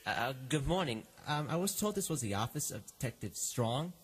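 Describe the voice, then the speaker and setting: A man's voice: medium pitch, sing-song, normal speed, heavy background noise, lightly acted. A man playing an anxious, hesitant client who stammers as he pleads for help in a radio drama. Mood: anxious, pleading.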